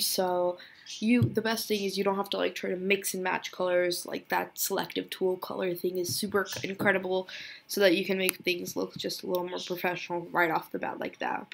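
A young woman giving a casual screen-recorded software tutorial, chatty and giggly, thinking aloud with a voice lower than usual today. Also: flat delivery, normal speed, gravelly, slight background noise. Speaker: tutorial creator.

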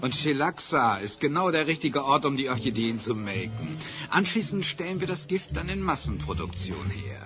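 An actor playing a smug, gloating villain in a German audio drama. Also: male voice, mid-range voice, big pitch swings, normal speed, over music, natural voice. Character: gloating, smug male villain plotting with poison.

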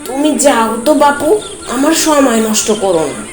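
A woman's voice: sits high, expressive, moderate pace, background noise. A woman voicing a curt male village blacksmith in a storybook cartoon, brushing others off. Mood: dismissive. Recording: roomy echoey voice-over.

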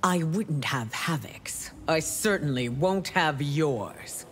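An actress playing a stern, regal and commanding empress in a video game. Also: female voice, sits low, expressive, takes it slowly, background noise. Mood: stern disdain. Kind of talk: video game fight intro dialogue. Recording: loud music under game dialogue.

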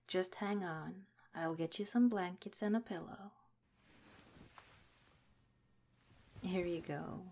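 Slow, mellow, affectionate policewoman played by a woman. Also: on the low side, fairly even intonation, vocal fry. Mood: caring, soothing. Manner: gentle, hesitant, giggly. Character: affectionate, shy young female cop comforting her partner. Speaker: ASMR roleplay performer. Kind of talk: intimate ASMR roleplay, soft-spoken.

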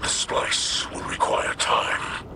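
An actor playing a solemn alien captain in a video game, with breathy, whispery speech and a formal manner. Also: male voice, lightly acted. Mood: solemn, intent. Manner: formal, measured. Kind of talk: game dialogue. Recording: loud game music behind.

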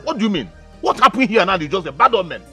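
An actor playing an indignant, hot-tempered in-law, confrontational and repetitive in film melodrama. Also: male voice, high voice, sing-song, quick pace, bright, forceful tone, faint music behind, full character voice. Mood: outraged.

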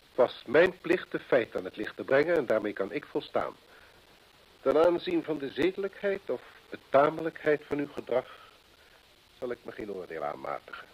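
An actor playing a sharp, dryly superior male detective in a radio play, speaking formally and probingly with teasing dryness. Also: medium pitch, very animated, normal speed, slightly rough. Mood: stern, incisive. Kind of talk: Dutch radio drama acting. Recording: muffled vintage radio play recording.

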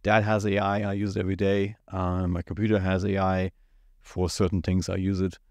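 A man in his forties with a German accent, chatting casually on a podcast in a small studio, relaxed and rambling with plenty of fillers. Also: low-pitched, brisk, dry recording. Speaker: IT company owner, podcast co-host. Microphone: studio mic on boom at the mouth.

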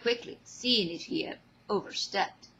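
A woman's voice: mid-range voice, unhurried, bright, forceful tone, a little hiss or hum, rough recording. A woman reading scripted story narration as the narrator.

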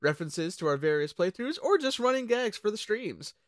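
A man's voice: high-pitched, very animated, brisk, no echo, studio quality. A man hosting a channel outro in an upbeat, playful way. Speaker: channel host.

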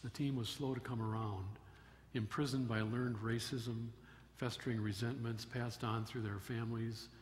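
An older man giving a sermon from a church pulpit, telling a story in a measured way with emphatic rises. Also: medium pitch, steady tone. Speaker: pastor. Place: church sanctuary at a wooden pulpit. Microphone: pulpit microphone. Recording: long church reverb, faint room noise.

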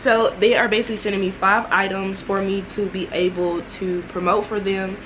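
A woman's voice: mid-range voice, level tone, fairly quick, background noise. A young woman in her twenties vlogging casually, talking straight to her viewers in loose slang, recorded close on a handheld phone. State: raspy morning voice. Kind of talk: casual vlog chat. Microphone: handheld phone camera close up.

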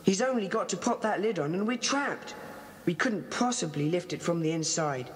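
A British schoolboy gang leader in a children's radio-style play. The voice is a little husky and very animated, confident and bossy. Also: female voice, on the low side, moderate pace. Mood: wary, urgent. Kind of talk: children's audio drama acting.

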